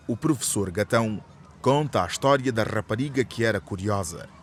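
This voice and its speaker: A Mozambican man narrates a radio drama in a fairly low voice. His storytelling is measured and scripted, with faint noise behind it.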